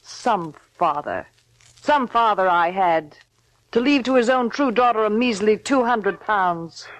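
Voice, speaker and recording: An actress playing a bitter, resentful wife in an old radio drama. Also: female voice, medium pitch, expressive, measured pace, dry recording, natural voice. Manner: bitter and brooding. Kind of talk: 1950s radio drama acting. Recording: dull old radio recording.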